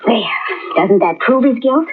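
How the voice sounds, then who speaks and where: A dramatic, high excitable lady. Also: measured pace, husky. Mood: intent. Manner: emotional, insistent. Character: dramatic young woman, fierce and excitable. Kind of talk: 1940s radio acting. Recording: tinny old radio recording.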